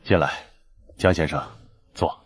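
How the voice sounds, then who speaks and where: A suave villain in his late fifties played by a male actor for an animated drama. His voice is deep, and he persuades in a patronizing, manipulative way. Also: measured pace. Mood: condescending. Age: 50s. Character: smooth, manipulative rich man near sixty, persuasive villain. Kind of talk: scripted Chinese animated drama dialogue.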